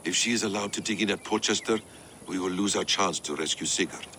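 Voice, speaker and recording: A gravelly, dutiful Viking advisor. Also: male voice, deep voice, fast, bright, forceful tone, a little hiss or hum, exaggerated voice. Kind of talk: dramatic video game acting.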